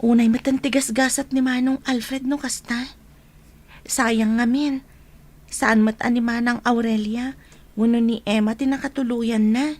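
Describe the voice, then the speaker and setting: A woman's voice: on the high side, steady tone, unhurried, a little mellow. A woman playing a chatty, sympathetic friend and coworker in an Ilocano radio drama.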